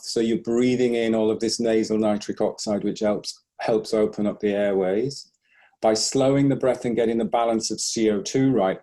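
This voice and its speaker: Mellow man explaining calmly. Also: fairly low pitch. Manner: measured, careful explainer who hedges his claims. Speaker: breathing instructor. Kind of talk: conversational interview.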